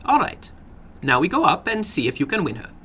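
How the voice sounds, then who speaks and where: Man voicing an eager, high-pitched little foreign gambler. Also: sing-song, sharp tone, slight background noise. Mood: excited, inviting. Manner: halting broken English with searching pauses. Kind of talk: dramatic horror story reading.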